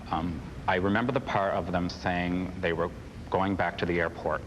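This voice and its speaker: Male interviewee, deep voice, hesitant earnest recollection, clear background noise. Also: fairly even intonation, normal speed. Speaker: air traffic controller being interviewed. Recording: clearly noisy interview audio.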